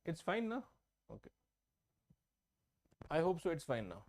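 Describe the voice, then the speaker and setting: A man's voice: fairly high pitch, very animated, unhurried. A man teaching an online math class. He explains each step, repeats himself and often checks that students follow. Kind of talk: online exam-prep class. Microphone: own microphone, online class.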